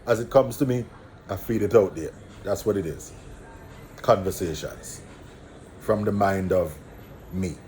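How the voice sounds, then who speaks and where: A man with an Antiguan accent talks through his views in a loose, preachy monologue. His voice sits fairly low, and he hammers points home by repeating them. A faint hiss runs under the recording. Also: unhurried, long pauses, dark tone, low-quality recording. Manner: preachy, emphatic, repeats phrases for stress. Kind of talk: casual monologue.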